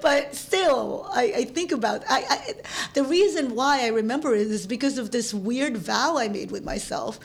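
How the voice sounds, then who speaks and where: A woman's voice: a little high, expressive, moderate pace, gravelly, breathy edge. A Filipino-born woman in her thirties, a guest in an interview, talking casually with frequent laughs and restarts. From Philippines. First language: Tagalog. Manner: chatty, laughs often, many restarts.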